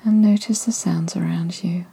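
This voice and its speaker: A woman guiding a body-scan meditation in a soft, soothing voice that often drifts into whispers. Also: on the low side, steady tone, normal speed, creaky, breathy edge. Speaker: meditation guide.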